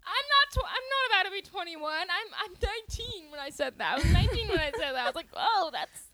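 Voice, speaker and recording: A woman's voice: very high-pitched, sing-song, quick pace, pushed and bright, soft background music. A twenty-year-old woman co-hosting a podcast, chatting casually in a bubbly, giggly way with lots of "like.". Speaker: podcast co-host. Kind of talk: casual chat.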